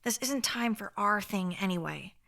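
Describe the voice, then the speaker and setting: A woman's voice: mid-range voice, animated, moderate pace, vocal fry, pushed and bright. An actress playing an indignant, wordy woman in her twenties in a radio play, with a prim, sarcastic way of talking. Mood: indignant, dry. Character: indignant, wordy young female nursing-school dropout. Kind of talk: radio drama acting.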